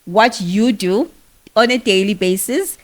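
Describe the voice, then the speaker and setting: A woman's voice: medium pitch, measured pace. Eager South African woman host. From KwaZulu-Natal, South Africa. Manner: enthusiastic, rambling questions. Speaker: podcast host. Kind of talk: conversational interview.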